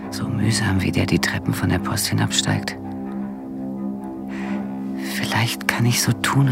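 Anxious, frail wife, slow, creaky inner monologue for radio drama, half-whispered, loud cello underscore. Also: female voice, very deep voice, heavily acted. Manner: halting, fragmented, half-whispered. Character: anxious, frail, paranoid wife alone with her thoughts.

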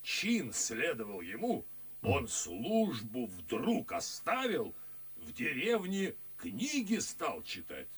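An actor playing a blunt, self-satisfied army colonel with a curt, soldierly manner and a husky voice. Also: male voice, medium pitch, huge pitch swings, slow pace, heavily acted. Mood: boastful. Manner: curt, boastful soldierly talk. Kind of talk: classic radio play acting.

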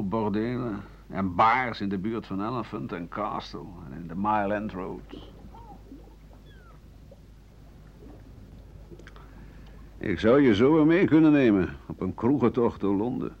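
An actor playing an embittered, war-weary French doctor who broods over his past in a slow Dutch radio play. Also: fairly low pitch. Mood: bitterly nostalgic. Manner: slow, brooding, halting delivery with swings of emphasis. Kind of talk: 1980 Dutch radio play, monologue and dialogue. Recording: dull, noisy old radio recording.

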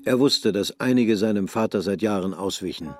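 Low-voiced male narrator, sober and measured. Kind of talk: literary scripted narration for radio drama.

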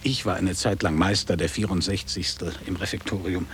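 A man's voice: low voice, fairly even intonation, brisk, slight background noise, close to an everyday voice. An actor playing a nostalgic man in his fifties in a radio play, declaiming literary reminiscences in clipped phrases. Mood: reminiscing. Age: 50s. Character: nostalgic man around fifty revisiting his old boarding school.

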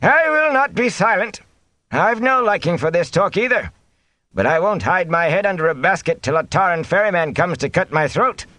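A sour old thatcher as a man narrating acts him out speaks up high and swoops with indignation. He is stubborn and quarrelsome, a defiant village elder from a fantasy storybook. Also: big pitch swings, normal speed, dry recording, full character voice. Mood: angry, stubborn. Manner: sour, loud, challenging.

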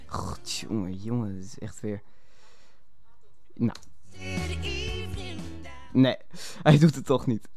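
A man's voice: fairly low pitch, takes it slowly, long pauses, music in the background. A man presenting live radio in a casual, improvised way, hesitant and often muttering to himself.

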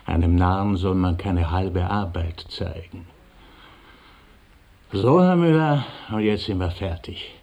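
A man's voice: sits low, takes it slowly, a little mellow. A man playing a self-assured, persuasive businessman in a German radio play, encouraging and full of rhetorical questions. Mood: brisk, satisfied.

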